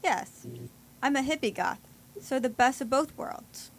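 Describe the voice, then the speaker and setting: A woman's voice: high voice, expressive, measured pace, slight background noise. A woman playing a sardonic hippie goth with macabre tastes in an amateur audio play, dry and playfully sarcastic. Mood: dryly teasing.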